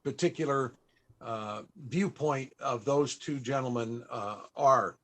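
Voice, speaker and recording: A man interviewing on a panel, conversational, with deliberate and emphatic phrasing. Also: medium pitch.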